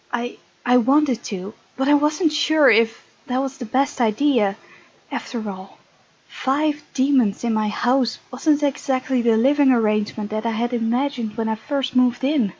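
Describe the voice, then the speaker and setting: A woman's voice: fairly high pitch, a little mellow, breathy edge, highs cut off. A woman hosting a gaming video, reading visual novel text aloud with chatty, giggly commentary.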